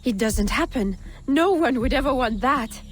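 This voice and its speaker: High-voiced, blissfully devoted woman laborer. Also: animated, normal speed. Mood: nervous, insistent. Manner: insistently cheerful, guarded. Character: blissfully content, fearful, brainwashed woman laborer. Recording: music under the voice.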